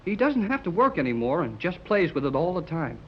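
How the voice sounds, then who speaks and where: An excitable teenage boy in a 1930s radio adventure. His voice swings widely as he gushes in slangy exclamations. The old recording is muffled and clearly noisy. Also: a little high, a little fast. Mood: earnest. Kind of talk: 1930s radio serial acting.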